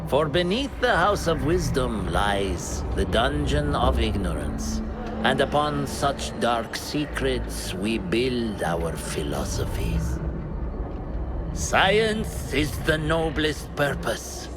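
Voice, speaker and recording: Game villain: obsessive scholar, coldly serene, theatrical, very animated pitch, unhurried, whispery drops. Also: male voice. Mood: grandiose, menacing. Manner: theatrical, philosophizing, drifting into whispers. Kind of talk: dramatic video game cutscene acting. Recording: loud music under the voice.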